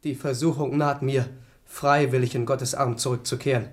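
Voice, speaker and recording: A man's voice: mid-range voice, level tone, moderate pace, lightly acted. A man as a defiant young prince. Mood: pleading. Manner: pleading, formal, then bitter. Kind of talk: 1970s radio drama acting.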